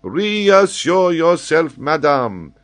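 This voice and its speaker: A man voicing an excitable, learned French geographer, speaking eagerly and emphatically as he explains. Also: on the high side, extremely slow, voice pushed up, exaggerated voice. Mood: lecturing. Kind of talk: audiobook character voice.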